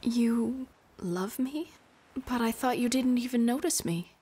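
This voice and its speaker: Slow-spoken proud cartoon bride. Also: female voice, fairly high pitch, dark tone, slightly rough. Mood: earnest. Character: proud, self-absorbed young bride. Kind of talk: animated film voice acting.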